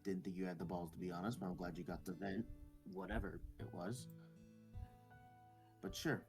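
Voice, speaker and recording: Low-voiced man reading along over guitar music. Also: normal speed. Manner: informal, jokey, swears in asides. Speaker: co-reader in a visual novel let's play. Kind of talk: casual read-aloud of visual novel dialogue with joking asides. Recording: music playing behind the voice.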